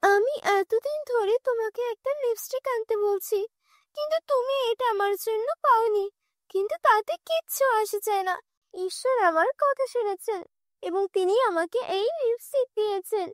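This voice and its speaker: A woman's voice: very high-pitched, normal speed, dry recording, clean studio sound, voice pushed up. A woman voicing a poor, stubborn, pleading young girl in a cartoon fairy tale. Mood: excited, proud.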